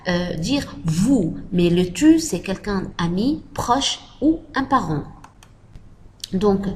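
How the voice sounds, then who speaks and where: A woman giving a French lesson, talking slowly and repeating model phrases for learners. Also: medium pitch, slight background noise. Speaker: French teacher. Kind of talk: slow spoken language lesson.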